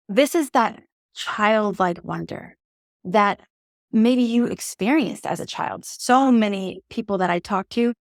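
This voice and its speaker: Woman podcast host, mid-pitched, earnest and encouraging, close dry mic. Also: no echo. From native English speaker. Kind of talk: reflective teaching monologue.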